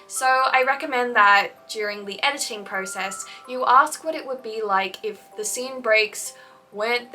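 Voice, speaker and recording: A woman's voice: a little high, bright, forceful tone, far from the mic, low-quality recording. A woman hosting a scripted instructional video on writing, with articulate, emphatic phrasing. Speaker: host of fan fiction writing advice videos. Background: background music.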